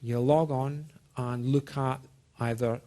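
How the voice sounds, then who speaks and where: A man from Scotland with a mixed Scottish and American accent gives an informal technical presentation, speaking deliberately and haltingly as he checks in with his listeners. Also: medium pitch, fairly even intonation, warm tone. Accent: Scottish-American mix. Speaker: software program manager giving a presentation. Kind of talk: informal technical talk to a small audience.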